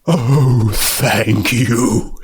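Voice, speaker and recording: A man's voice: medium pitch, heavily acted. A man voicing a friendly, wistful young undead pony stallion, sighing and savouring his words in a storybook reading. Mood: blissful. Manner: breathy sighs and gasps, slow savouring exclamations.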